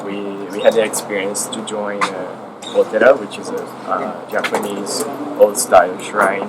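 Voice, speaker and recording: A young Brazilian man, a native Portuguese speaker, talks about student life in English, eager and full of "like." His voice sits a bit low. Steady noise hums behind him. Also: normal speed. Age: young adult. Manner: eager, enthusiastic, many fillers like "like". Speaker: exchange student. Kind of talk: casual interview answers. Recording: clear background noise.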